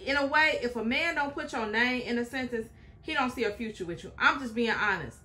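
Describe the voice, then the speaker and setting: Bright-voiced woman giving frank commentary. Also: a little high, fairly quick. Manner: chatty, opinionated, frank asides to viewers. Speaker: reaction video host. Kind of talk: casual reaction commentary.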